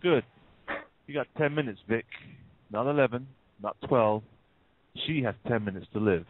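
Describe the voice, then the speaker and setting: A man's voice: mid-range voice, moderate pace, a little hiss or hum, natural voice. A man playing a smug, menacing bank robber in an audio play, speaking with taunting, mock-polite deliberation. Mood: mocking, commanding. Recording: muffled narrow phone-like sound.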